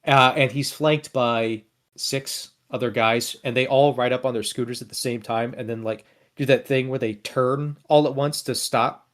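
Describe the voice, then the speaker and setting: A man's voice: mid-range voice, fairly even intonation, moderate pace, fairly bright tone. A man narrating a tabletop game as game master in a casual, improvised, playful way.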